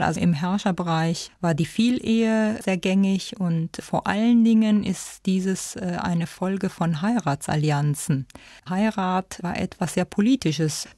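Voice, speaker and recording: A woman's voice: on the low side, a little mellow, dry recording, studio quality. A woman speaking as an expert, explaining things in unscripted interview style. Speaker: expert commentator.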